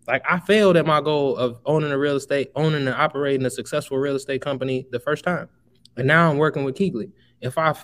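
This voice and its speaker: A young man in his twenties from Chicago talks as a podcast guest. He speaks emphatically, in a motivational tone, and keeps calling the listener bro. His voice sits at an average pitch for a man. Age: 20s. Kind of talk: casual podcast interview.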